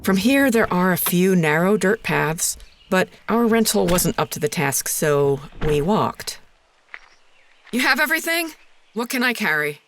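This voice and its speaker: An actress playing an uneasy female radio presenter, narrating an eerie investigation in a measured, deliberate way. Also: medium pitch, big pitch swings, a little hiss or hum. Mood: casual, concerned. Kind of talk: scripted first-person narration.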